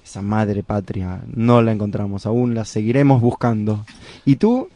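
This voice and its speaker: A man's voice: lowish voice, animated, moderate pace, mellow, dry recording. An Argentine man, a touring folk musician, chatting playfully in a radio interview. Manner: playful, joking, expansive. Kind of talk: casual radio interview chat.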